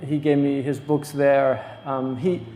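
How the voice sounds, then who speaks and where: A man hosting a lecture, introducing a guest scholar in unscripted, halting talk with many pauses and fillers. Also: mid-range voice, level tone, warm tone. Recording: echoey hall, distant mic.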